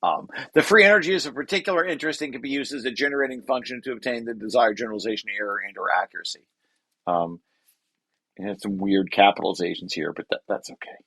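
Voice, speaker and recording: A man presenting a technical paper aloud, reading and adding explanations in a halting way with self-corrections. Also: medium pitch, fairly bright tone, slightly rough, no echo. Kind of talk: technical table read with explanatory asides.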